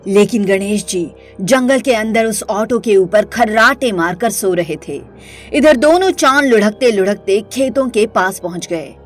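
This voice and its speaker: A woman's voice: medium pitch, lively intonation, a little slow. Woman narrating a story over music.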